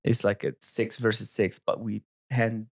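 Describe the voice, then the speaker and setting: A man from Morocco in his thirties with a Moroccan accent, telling his life story as a podcast guest in halting English, often searching for words and restarting. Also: on the low side, flat delivery, vocal fry. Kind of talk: casual storytelling interview.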